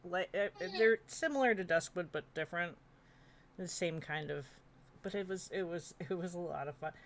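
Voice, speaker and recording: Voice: medium pitch, normal speed, fairly bright tone. A streamer talking casually and informally on a panel, with some mild swearing. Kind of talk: casual chat. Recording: some background noise.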